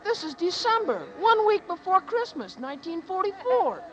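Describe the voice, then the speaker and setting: An actress playing a brash bystander who explains things with slangy wisecracks, in 1940s radio acting style. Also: female voice, very high-pitched, big pitch swings, normal speed, a bit bright, highs cut off, exaggerated voice. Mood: wry. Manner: breezy, slangy.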